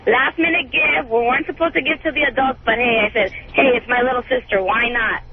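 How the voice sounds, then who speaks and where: A woman's voice: on the high side, brisk. A woman calling a radio show, venting casually in an exasperated, sarcastic, colloquial way. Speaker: radio call-in guest. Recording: dull phone line, clearly noisy background.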